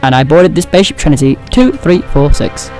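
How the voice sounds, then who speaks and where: A man's voice: on the high side, big pitch swings, a little fast, darkish tone, soft background music, poor audio. A man playing a regretful, dutiful part-android astronaut in a fan audio drama, speaking in a clipped, earnest way. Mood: troubled.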